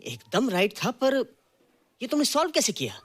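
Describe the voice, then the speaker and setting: Lively, astonished classroom teacher. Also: male voice, very high voice, normal speed, breathy edge, exaggerated voice. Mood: amazed. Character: astonished schoolteacher quizzing a class. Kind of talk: Hindi film dialogue mixed with English.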